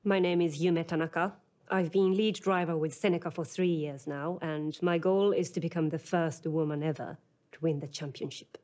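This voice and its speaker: An actress as a competitive female racing driver with a mellow, fairly low voice. She speaks seriously and matter-of-factly, as if interviewed for a documentary in a racing game. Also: fairly even intonation, moderate pace, close to an everyday voice. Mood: firm, serious. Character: guarded, competitive female lead racing driver in her prime.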